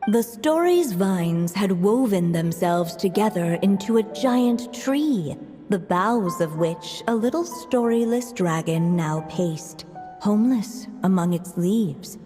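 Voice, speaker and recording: A woman narrating a storybook tale softly and gently, over faint music. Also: mid-range voice, animated, in a large hall. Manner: soft, slow and gentle.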